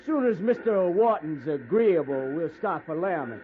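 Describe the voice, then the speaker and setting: A man's voice: a little high, animated, normal speed, lightly acted. Man playing greedy outlaw leader, American accent, bossy drawl, 1950s radio western, very tinny sound. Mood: smugly menacing. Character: menacing, greedy male train robber gang leader. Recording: tinny, band-limited old radio recording, faint noise.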